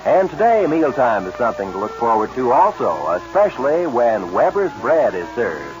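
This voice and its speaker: A male commercial announcer from 1940s radio with a mid-pitched, very lively voice. He reads his script like an earnest, persuasive salesman. The recording is old and dull, with music clearly behind him. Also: normal speed.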